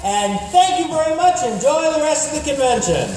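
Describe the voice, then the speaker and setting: A man's voice: very high-pitched, big pitch swings, moderate pace. A man announcing a live radio serial as host, theatrical, hammy and exclamatory. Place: convention hall with audience. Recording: very echoey noisy convention hall, far off.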